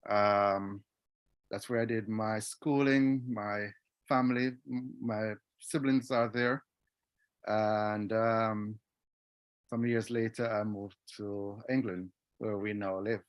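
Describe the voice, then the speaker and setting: A man from Jamaica, a guest on an online interview, telling his life story casually with many pauses and fillers. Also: fairly low pitch, steady tone, clear, resonant tone, no room sound. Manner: hesitant, with many fillers and restarts. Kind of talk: casual personal testimony. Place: home. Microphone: video call. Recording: dry video-call audio.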